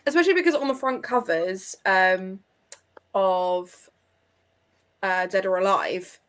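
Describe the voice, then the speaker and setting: A woman's voice: medium pitch, a little slow, a bit bright. A woman in a casual book discussion, talking informally as one of a panel. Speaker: readalong panelist.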